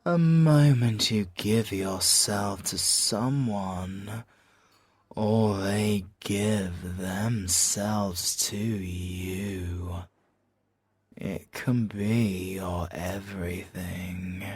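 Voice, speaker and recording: A British man acting a sly, seductive villain in an ASMR roleplay, with a breathy voice and a teasing, drawn-out, theatrical delivery. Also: low voice, fairly even intonation, dry recording. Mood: hushed, seductive. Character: sly, seductive, possessive male villain toying with an officer. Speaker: audio roleplay voice actor.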